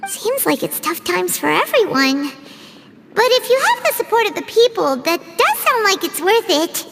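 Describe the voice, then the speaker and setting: An actress voicing a tiny, chatty female fairy companion in a video game, childlike and expressive. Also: extremely high pitch, normal speed, vocal fry, very noisy, full character voice. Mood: curious, sympathetic. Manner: childlike, expressive, speaks of self in third person. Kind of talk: video game cutscene voice acting.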